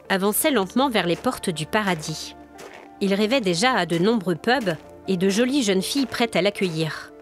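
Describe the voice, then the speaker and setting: A woman storyteller reading scripted narration of a spooky folk tale. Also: medium pitch.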